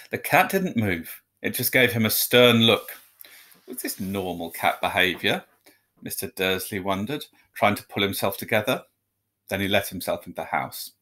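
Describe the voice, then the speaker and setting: A man reading a children's story aloud like a teacher, in expressive narration. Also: fairly low pitch.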